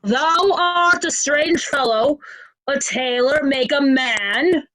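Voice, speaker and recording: A woman playing a stern, commanding duke in Shakespearean reader's theatre, measured and imperious. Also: high-pitched, a bit bright, dry recording, rough recording. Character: Cornwall, commanding stern duke handing out orders.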